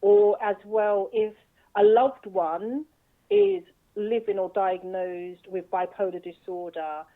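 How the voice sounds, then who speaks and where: A woman answering interview questions in an earnest, measured way, speaking from her own experience as an advocate. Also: mid-range voice. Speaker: guest, bipolar advocate. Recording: tinny phone-line sound.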